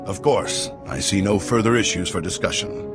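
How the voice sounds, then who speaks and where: An actor playing an even-handed senate chairman, courteous and official, in a video game. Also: low-pitched, moderate pace, lightly acted. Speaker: chair of a hearing. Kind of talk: video game voice acting, formal proceedings. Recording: music behind the voice.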